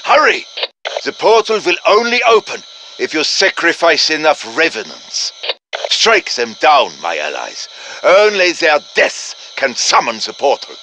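Theatrical German professor, high bright voice. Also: male voice, a little hiss or hum, heavily acted, poor audio. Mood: urgent. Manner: dramatic, urgent, courtly encouragement. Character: earnest, theatrical male professor guiding his fighters. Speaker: game character voice. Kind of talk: scripted video game voice lines.

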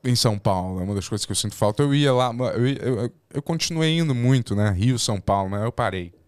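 A deep-voiced man with a Carioca accent speaks at a boom mic in a small studio. His sound is dry and close, and he talks in a loose, rambling way, often backtracking mid-sentence. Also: fast talker, smooth, no echo. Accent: Carioca Brazilian Portuguese. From born in Portugal, raised in Rio de Janeiro. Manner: relaxed, rambling, self-correcting. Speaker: podcast guest. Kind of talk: casual chat. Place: small podcast studio, indoors. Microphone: boom mic and headphones.

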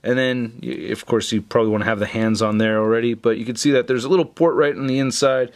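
A man with a medium-pitched voice talks through a casual, hands-on product review. His delivery is informal and chatty, like a hobby reviewer showing items on a tabletop. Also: steady tone, quick pace. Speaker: toy reviewer.

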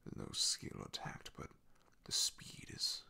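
A man playing a solemn, haunted, devoted knight in an ASMR fantasy roleplay, speaking haltingly in a husky, gravelly half-whisper. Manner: hesitant, halting, confiding in hushed tones. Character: loyal male knight, solemn, haunted, devoted to his princess.

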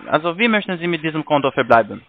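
A man making a scam phone call, halting with ums and broken grammar. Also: fairly high pitch, big pitch swings. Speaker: scam caller. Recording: tinny phone line.

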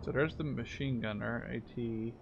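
A man in his twenties talks casually through a video game, swearing and thinking out loud. His voice sits at an ordinary pitch, with clear game battle noise behind it. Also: normal speed, dull audio. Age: 20s. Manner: sweary, musing aloud. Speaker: gamer commentator. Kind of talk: casual let's-play commentary. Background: game gunfire and vehicles.

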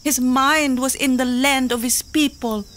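A woman's voice: sits high, steady tone, fairly bright tone, a little hiss or hum. A fierce pleading island woman. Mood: anxious. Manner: slow, poetic, impassioned pleading. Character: proud desperate young native woman, fierce and pleading. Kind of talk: full-cast audio drama.

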